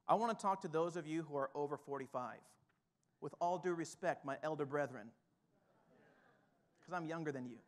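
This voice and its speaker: A male pastor in his thirties preaches from a church stage through a headset mic, with a high, light voice. He moves from hushed, reflective passages up to urgent, rising exhortation. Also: moderate pace, pauses often. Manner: builds from quiet to fired-up exhortation. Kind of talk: sermon. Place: church stage with drum kit, indoors.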